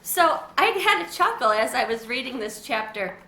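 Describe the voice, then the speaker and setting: Bright-voiced woman reading a storybook. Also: a little high, very animated, normal speed. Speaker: children's book reader. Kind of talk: reading a children's book aloud. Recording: echoey room, faint hiss.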